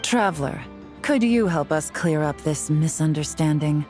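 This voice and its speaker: An actress playing a cool, shrewd, self-assured female agent in a video game, composed and measured. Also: deep voice, lively intonation, darkish tone. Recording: music behind the voice.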